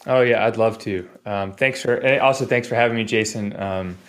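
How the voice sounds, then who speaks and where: A man's voice: fairly low pitch, normal speed. A man in his forties telling stories casually as a podcast guest, rambling and digressing with jokey asides. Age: 40s. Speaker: podcast guest, physical therapist. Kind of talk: casual storytelling in a podcast interview.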